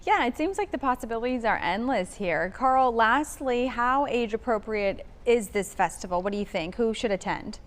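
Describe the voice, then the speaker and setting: A woman's voice: on the high side, animated, normal speed, hardly any pauses. A woman hosting a live local television interview segment with polished broadcast delivery. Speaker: TV morning show host.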